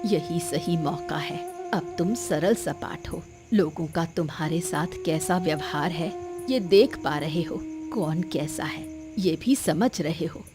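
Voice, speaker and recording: A woman's voice: low-pitched, slow pace, music in the background. A woman playing a patient village mother in a cartoon, consoling and coaxing her grown son with advice. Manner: gentle, coaxing, advising. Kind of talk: Hindi cartoon moral story acting.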